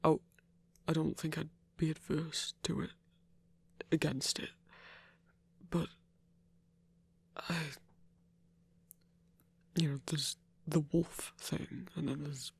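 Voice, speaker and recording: A man performs a lone werewolf hunter, gentle and socially awkward, for an ASMR audio drama. The voice is very husky and breathy, with a creaky edge. He speaks slowly, stopping and restarting as he searches for words. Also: a little high, fairly even intonation, exaggerated voice. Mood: hesitant, awkward. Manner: halting, hesitant, stammering. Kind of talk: intimate ASMR audio roleplay.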